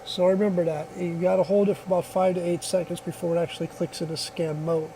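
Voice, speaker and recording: A man's voice: high voice, little pitch movement, quick pace, warm tone, slight background noise, low-quality recording. A man giving a casual hands-on product demonstration in a plainspoken, folksy, reassuring way, recorded on a phone.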